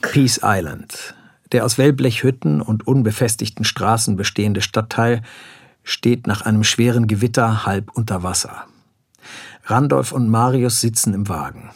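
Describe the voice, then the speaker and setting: A man's voice: lowish voice, normal speed. A man reading scripted scene-setting narration as the narrator of a German radio drama. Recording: clearly audible background noise.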